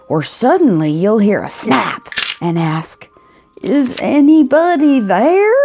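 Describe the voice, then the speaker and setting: A woman reading a children's picture book aloud, expressively, with drawn-out emphasis on key words. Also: medium pitch, darkish tone. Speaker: storybook reader. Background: music.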